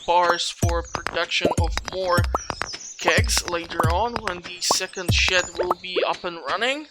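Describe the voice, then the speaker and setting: Rambling gamer over loud game music. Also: mid-range voice, fairly even intonation, measured pace. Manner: rambling, talking to self. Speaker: gaming video creator, host. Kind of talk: casual let's play commentary. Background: video game music.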